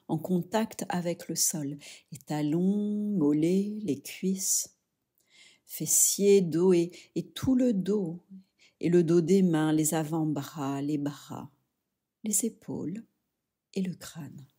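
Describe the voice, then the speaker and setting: Woman doing a yoga nidra meditation, really slow n mellow, soft and soothing, short phrases w pauses. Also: on the low side, slightly rough. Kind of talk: guided relaxation meditation.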